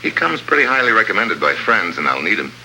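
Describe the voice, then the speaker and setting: An actor playing a laconic American government agent in 1950s radio drama, with a dry, hard-boiled delivery. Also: male voice, fairly low pitch, sing-song, fast talker, bright, forceful tone, a little hiss or hum, exaggerated voice, rough recording. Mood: wry, probing. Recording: vintage 1950s radio with music.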